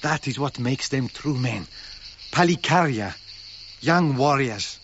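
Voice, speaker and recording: A man's voice: medium pitch, lively intonation, takes it slowly, fairly bright tone. An actor playing a deferential yet passionate Cretan foreman with a Greek accent in a radio drama. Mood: tipsy, exuberant. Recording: dull lo-fi radio recording, faint noise.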